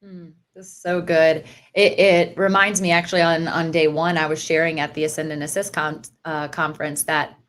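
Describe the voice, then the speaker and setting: A woman's voice: sits low, flat delivery, moderate pace, few pauses, fairly bright tone. A woman hosting an interview podcast, speaking in an enthusiastic, affirming way. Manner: enthusiastic, affirming, faith-centered. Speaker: podcast host. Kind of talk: conversational interview.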